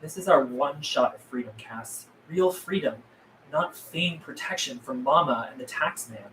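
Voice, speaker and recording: A man's voice: mid-range voice, a little slow, fairly bright tone, lightly acted. A man reading the part of a guarded, earnest zombie apocalypse survivor at a script table read, terse in manner. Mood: intense. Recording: roomy, distant mic, faint noise.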